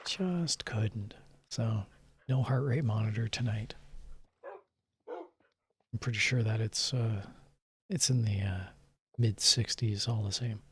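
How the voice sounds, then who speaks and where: A man streamer chatting casually late at night in a calm, soft-spoken way, chuckling and sometimes dropping to a whisper. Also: fairly low pitch, takes it slowly, long pauses, husky. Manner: relaxed, chuckling, drifting into whispers.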